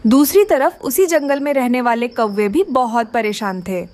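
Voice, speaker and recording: A woman's voice: fairly high pitch, normal speed, darkish tone. A woman narrating a scripted children's cartoon story.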